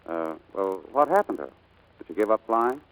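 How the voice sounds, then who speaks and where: Casting: man playing footloose flyboy, low voice, cocky and slangy, 1940s radio drama. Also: lively intonation, fairly quick, lightly acted, poor audio. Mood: casual, evasive. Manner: slangy, offhand. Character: breezy, cocky, footloose male pilot, casually offhand. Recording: vintage 1940s radio broadcast.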